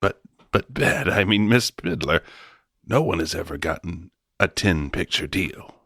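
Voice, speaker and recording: A man's voice: sits low, unhurried, a bit bright, no room sound, full character voice. A man playing a flustered studio boss in a comic radio drama, stammering and placating as he wheels and deals. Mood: stammering, pleading. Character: smooth-talking, flustered male studio boss wheeling and dealing.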